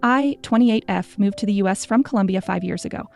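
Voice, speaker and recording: A woman's voice: mid-range voice, a little fast, vocal fry, soft background music. A woman reading first-person stories as scripted narration. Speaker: story narrator.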